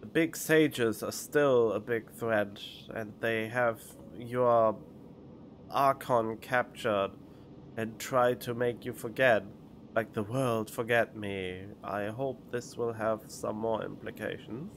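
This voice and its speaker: A man giving casual live game commentary as a streamer, skeptical and halting, on a studio microphone. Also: on the low side, a little hiss or hum. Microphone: studio condenser microphone.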